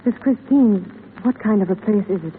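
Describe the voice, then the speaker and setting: A woman's voice: mid-range voice, moderate pace, lightly acted. An actress plays a lovelorn, restrained woman from an old radio play. Her lines are terse. The recording is thin and tinny with faint noise. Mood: urgent. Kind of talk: 1930s radio drama acting.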